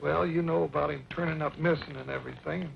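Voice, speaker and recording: An actor playing a folksy, eccentric older judge in an old western radio drama, creaky and a little pompous. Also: male voice, fairly even intonation, fast talker. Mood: concerned. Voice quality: creaky, high-pitched. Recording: dull, hissy old radio recording.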